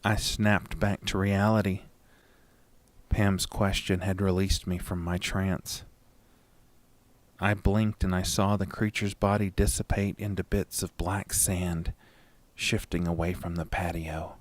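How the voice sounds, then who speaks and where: A man reading a scripted first-person horror story in a dramatic, deliberate storytelling manner. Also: deep voice, flat delivery, no echo. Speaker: narrator and lead of a horror audio story.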